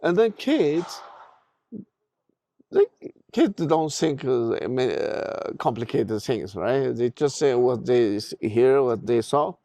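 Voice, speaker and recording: A middle-aged man with a Tibetan accent, speaking Tibetan as his first language, answers interview questions at a very unhurried pace. His voice carries a gravelly rasp, and the recording sounds dry and close. He talks haltingly, restarting phrases and pausing often. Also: mid-range voice, very animated, mellow, dry recording. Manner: halting, many restarts and fillers. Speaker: podcast guest.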